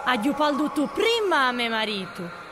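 A woman's voice: sits high, sing-song, background noise. A woman playing a grieving, bitter mother and widow in an audio drama, lamenting with a Gallurese accent from northern Sardinia. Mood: sorrowful. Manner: lamenting, drawn-out, emotive.

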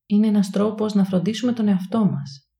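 A woman leading a guided body scan meditation in a calm, soothing, hushed way, leaving long pauses between short phrases. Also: medium pitch, gravelly.

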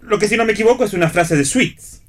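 An actor playing a wry, sententious amateur philosopher and palm reader in radio theatre. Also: male voice, high-pitched, fast. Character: wry male philosophizing would-be psychic, teasing and theatrical.

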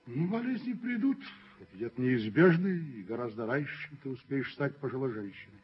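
A man's voice: mid-range voice, expressive, a little hiss or hum, lightly acted. An actor as a solemn middle-aged father, muffled recording. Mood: gentle, evasive. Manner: measured, earnest, persuasive. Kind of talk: radio play stage acting. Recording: muffled 1970s radio drama recording.

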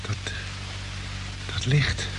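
An actor playing a drowsy, bewildered space expedition commander in a radio play, speaking haltingly and repeating words. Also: male voice, a bit bright, whispered. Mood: slowly remembering. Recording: noisy, humming old radio drama recording.

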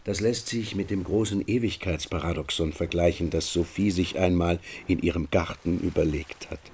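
An actor playing a calm, reflective middle-aged father in a radio drama. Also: deep voice, normal speed, slight background noise. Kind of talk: German radio drama acting.

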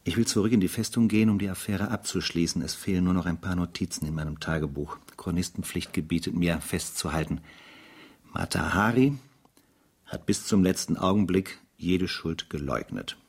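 An actor playing a sober, dutiful French army captain in a radio drama, speaking in a measured, grave and formal manner. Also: male voice, deep voice, husky. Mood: sombre, factual. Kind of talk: radio drama narration in diary form.

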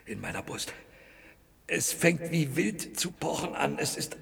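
Husky man torn between defiance and dread. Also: fairly high pitch, expressive, a bit bright, no room sound, heavily acted. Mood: fearful, breathless. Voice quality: husky, breathy, often dropping to whispers. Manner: intense, halting, swinging between defiance and dread. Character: restless, anguished man longing to break free but afraid. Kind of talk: German radio play acting.

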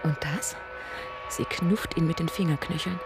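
A woman's voice: sits low, fairly even intonation, a little slow. A woman narrating a literary radio play in a storytelling style. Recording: music under the voice.

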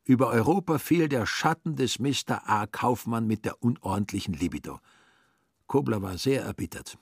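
A man's voice: mid-range voice, animated, normal speed, breathy edge, dry recording, clean studio sound. A man narrating a literary radio play in a scripted, dryly ironic storytelling manner.

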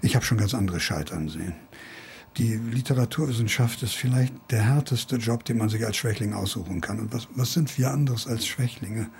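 An actor playing an ailing, sardonic older literature professor in a radio drama, condescending and needling, with a gravelly, husky voice. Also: male voice, mid-range voice, moderate pace, a little mellow, natural voice. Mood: weary, philosophical.

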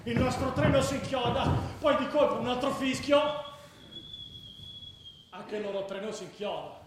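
An actor playing a football ultra who tells what he saw as a colloquial, animated eyewitness in a radio drama. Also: very high-pitched, normal speed. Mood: tense. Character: street-level male football ultra, vivid eyewitness storyteller. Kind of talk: dramatized radio narration in a choral ensemble. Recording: very echoey, faint noise.

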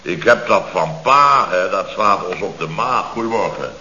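An actor playing a blustering, self-pitying middle-aged building contractor in a Dutch radio comedy, talking in exasperated, halting bursts. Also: male voice, sits low, expressive, a little hiss or hum, exaggerated voice. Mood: bothered. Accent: Dutch from the Netherlands. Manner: forceful, halting, exasperated storytelling in short bursts. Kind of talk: 1970s radio comedy acting. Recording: muffled old radio recording.

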